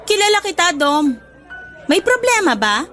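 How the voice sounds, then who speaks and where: A woman's voice: extremely high pitch, very animated, a little slow, a bit bright, soft background music, a faint crowd, heavily acted. A woman playing a hurt, suspicious, clingy young girlfriend in a Tagalog radio drama, emotional and confrontational.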